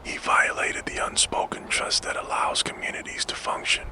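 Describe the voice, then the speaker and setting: A man narrating a true crime story in a soft, slow, deliberate ASMR whisper.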